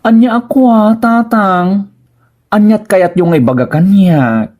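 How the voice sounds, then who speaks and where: A man's voice: sits high, measured pace, darkish tone. A man playing a young transgender adult pleading with a parent in an Ilocano radio comedy drama, with a plaintive manner and an Ilocano Filipino accent. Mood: confused. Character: pleading transgender adult child of stern father.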